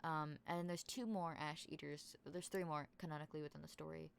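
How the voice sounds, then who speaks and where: A woman's voice: sits low, steady tone, a little fast, gravelly, smooth. A young woman in casual voice-call chat as a tabletop game player, rambling with many fillers. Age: young adult.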